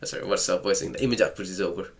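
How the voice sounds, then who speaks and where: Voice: low-pitched, animated, racing, vocal fry. Wry let's-play host joking with a game. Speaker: gaming channel host playing a visual novel. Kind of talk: casual let's-play commentary.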